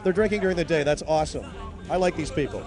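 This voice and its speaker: A Canadian man co-hosting a travel show, joking and bantering with quick patter outdoors in a city. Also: a little high, over music, a crowd in the background. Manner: jokey and playful, quick patter.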